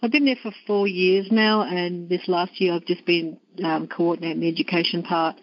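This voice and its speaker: A woman with an Australian accent, speaking as an education coordinator in a podcast interview, explaining her work in an earnest, measured way. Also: lowish voice, steady tone, no room sound, highs cut off. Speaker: education coordinator at a maternity home.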